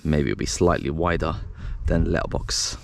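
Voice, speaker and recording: A man's voice: a bass voice, moderate pace, creaky, slight background noise. A man hosting a DIY video, talking casually and thinking aloud as he walks through a hands-on installation outdoors. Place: outdoors by a concrete wall.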